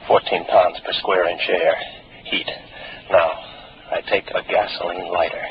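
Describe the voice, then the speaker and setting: An American actor playing a weary, skeptical space station officer in a 1950s radio drama, with a wry, detached, musing manner. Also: male voice, low voice, little pitch movement, measured pace, over music. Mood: doubting, reasoning. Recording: muffled, noisy 1950s radio broadcast.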